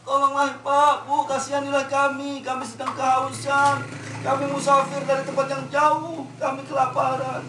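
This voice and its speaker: A storyteller voicing the prophet Khidir as a calm, stern older man who speaks in a measured, scolding way. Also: high voice, a little hiss or hum, pitched above the performer's own voice. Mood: pleading. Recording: roomy, distant, faint ambience.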